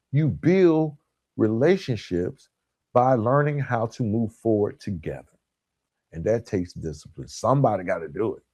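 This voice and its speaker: A man hosting a motivational coaching monologue, speaking directly and informally to listeners with emphatic, deliberate phrasing and repetition. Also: on the low side, darkish tone, slightly rough, dry recording. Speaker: podcast host and author.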